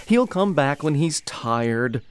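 A man's voice: fairly high pitch, big pitch swings, slow pace, clear, resonant tone, dry recording. A man voicing a clever, smug young prince who talks in a pompous, precise way. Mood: airy, dismissive. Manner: pompous, precise, lightly amused.

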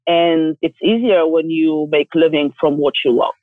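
A woman from Bulgaria with a Bulgarian accent, a yoga teacher and coach talking in a direct, forceful way and laughing often. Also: low voice, fairly even intonation, moderate pace, dry recording. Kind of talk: casual interview talk. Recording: tinny phone line.

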